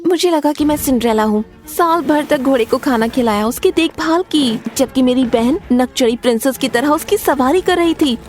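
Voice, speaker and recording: Woman as a high-voiced, complaining younger sister. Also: moderate pace, hardly any pauses, a little mellow, faint music behind, close to an everyday voice. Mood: aggrieved. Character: aggrieved younger sister recounting her pony-obsessed childhood. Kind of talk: first-person animated story narration.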